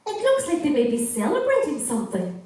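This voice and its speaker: A woman playing a kindly, commanding captain hero in a stage pantomime, measured and reassuring. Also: sits high, huge pitch swings, darkish tone. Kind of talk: scripted pantomime stage acting. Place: theatre stage. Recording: distant, very echoey hall recording.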